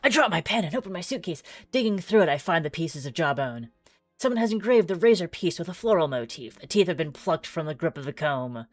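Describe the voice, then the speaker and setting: Low-voiced woman streaming a game. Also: very animated, a little fast, no room sound, faint music behind. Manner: chatty, thinking aloud with musing asides. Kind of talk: game playthrough commentary, reading aloud.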